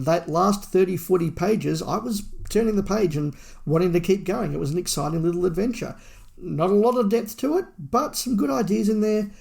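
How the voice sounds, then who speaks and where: A man's voice: high voice, vocal fry, a little mellow. A man co-hosting a podcast, casually discussing and reviewing with his fellow host.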